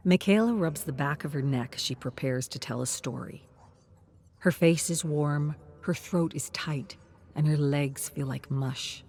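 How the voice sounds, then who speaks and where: A woman's voice: sits low, unhurried, a little mellow, a little hiss or hum. A woman reading a scripted story as a dramatic narrator.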